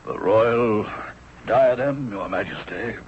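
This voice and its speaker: An actor playing a dignified, idealistic captain of the royal guard, oratorical and respectful, in a 1970s radio drama. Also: male voice, lowish voice, slow pace. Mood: respectful, restrained. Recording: dull, noisy old radio broadcast recording.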